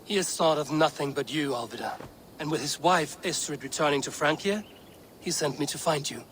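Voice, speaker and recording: A woman playing a steadfast, commanding Viking warrior in a historical game, speaking in measured, blunt, formal phrases. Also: sits low, a little hiss or hum, lightly acted. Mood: quiet, earnest. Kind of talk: dramatic period game acting.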